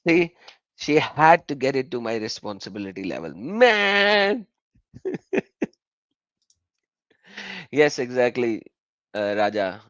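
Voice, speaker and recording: Animated man explaining. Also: slow, long pauses, no echo, highs cut off. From Pakistan. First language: Urdu. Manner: animated explainer, pitch jumping up when he makes a point. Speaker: host of a live call-in stream. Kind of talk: casual live-stream chat.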